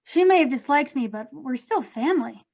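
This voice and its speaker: A woman playing a doting, chatty middle-aged mother's ghost in an animated comedy table read. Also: sits high, normal speed. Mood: puzzled recalling. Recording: dull lo-fi microphone.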